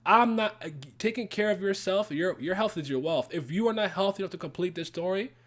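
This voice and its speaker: A man's voice: sits high, a little fast. A man whose first language is French, casually answering chat comments on a livestream with blunt, heated opinions and repeated phrases. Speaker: YouTuber streaming to chat.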